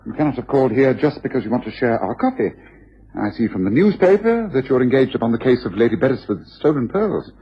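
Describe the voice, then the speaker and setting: An actor playing a keen, urbane, wry English gentleman detective in a radio drama, with a British accent. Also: mid-range voice, very animated, fast, slightly rough. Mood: brisk, courteous. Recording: muffled, hazy old radio drama recording.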